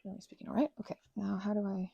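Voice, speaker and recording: A woman chats quietly while she works on a craft, drifting from tangent to tangent and breaking off mid-sentence. Her voice is dark, mellow and heavily gravelly, caught close on a dry mic with craft clicks behind. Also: medium pitch, level tone, racing, dry recording, lo-fi sound. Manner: meandering, self-interrupting, soft whispers and mild exclamations. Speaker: ASMR creator. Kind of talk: soft rambling ASMR craft chat.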